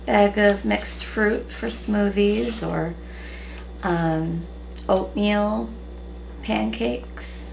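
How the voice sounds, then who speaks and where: A woman in her thirties chatting casually in a relaxed way with many ums, recorded at home on a camera at a table. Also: mid-range voice, extremely slow, gravelly. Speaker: vlogger. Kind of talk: casual haul video chat. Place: small room at home, indoors. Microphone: camera in front at table. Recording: noisy home webcam recording.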